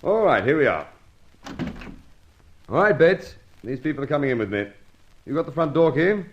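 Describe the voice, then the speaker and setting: Lively, blustering police inspector. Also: male voice, on the high side, normal speed, a little hiss or hum. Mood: brisk, impatient. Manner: blustering, incredulous, grudgingly amused. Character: gruff, sceptical, easily exasperated male police inspector. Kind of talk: old-time radio drama acting. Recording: dull vintage radio recording.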